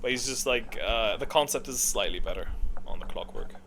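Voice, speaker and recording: A man's voice: mid-range voice, a little fast, pushed and bright, a little hiss or hum. A man giving blunt, casual, rambling commentary on game characters, thinking aloud and second-guessing himself. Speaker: gaming content creator.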